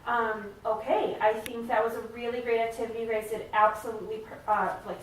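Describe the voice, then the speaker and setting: A woman's voice: fairly high pitch, flat delivery, brisk, lightly acted. A woman playing an encouraging, careful family therapist in a role-played session, speaking hesitantly and affirmingly. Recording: very echoey room, distant mic, faint noise.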